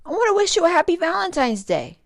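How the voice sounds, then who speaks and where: A woman voicing an eager, friendly young male shark in an excitable, earnest storybook read-aloud. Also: very high voice, fast, creaky, dry recording, clean studio sound, pitched above the performer's own voice, heavily acted. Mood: eager, pleading.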